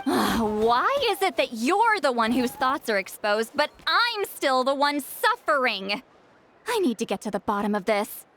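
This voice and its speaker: A woman's voice: high-pitched, big pitch swings, unhurried, fairly bright tone, slight background noise, full character voice. A woman playing a proud, grumpy, easily flustered great shaman in an anime game, with a haughty, huffy manner. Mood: indignant. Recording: background music under the voice.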